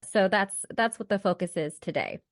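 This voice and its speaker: A woman co-hosting a podcast with a congested voice from a cold, chatting and explaining in a relaxed way. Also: medium pitch, normal speed, no room sound, studio quality. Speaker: podcast co-host. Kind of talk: casual podcast chat.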